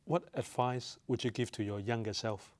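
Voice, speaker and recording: A man's voice: medium pitch, fairly even intonation, moderate pace, darkish tone. A man speaking as an interview host, putting questions in a conversational style.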